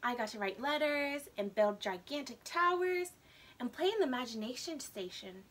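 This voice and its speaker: A woman voicing an eager, boastful but anxious little kindergarten boy from a storybook, in an excitable childlike way. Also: sits high, moderate pace. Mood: excited.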